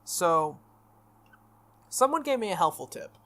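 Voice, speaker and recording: A man reading visual novel text aloud and commenting as he plays, with a halting, think-aloud delivery. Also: sits high, sing-song, a bit bright. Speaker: playthrough host. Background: game music.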